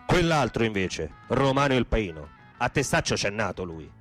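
A man's voice: on the low side, big pitch swings, moderate pace, a bit bright. A man delivering theatrical, poetic scripted narration for a radio play, with music in the background.

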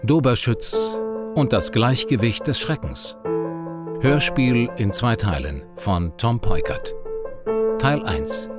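A man announcing a radio play's title and cast in a slow, formal manner. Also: medium pitch, animated. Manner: slow, deliberate. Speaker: announcer reading the credits. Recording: voice over loud piano music.

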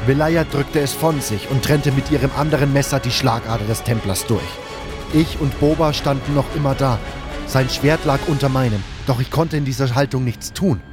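A man reading scripted first-person narration for a fantasy audio drama. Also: medium pitch. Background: faint music.

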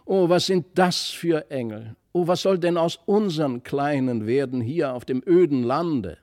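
A man's voice: fairly high pitch, animated, measured pace, a little mellow, dry recording, clean studio sound, pitched above the performer's own voice. A man voicing a fretful country mother in a fairy tale, given to sighing, scolding and exclaiming. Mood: sighing admiration. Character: fretful country mother, impressed by refined folk.

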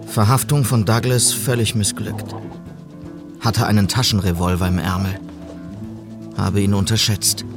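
An actor playing a dutiful, earnest police sergeant in a German radio western, speaking in clipped, terse phrases. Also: male voice, on the low side, natural voice. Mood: grim, self-reproachful. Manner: clipped, terse log-entry phrasing, sober and determined. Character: dutiful, earnest male police sergeant in 1890s frontier America.

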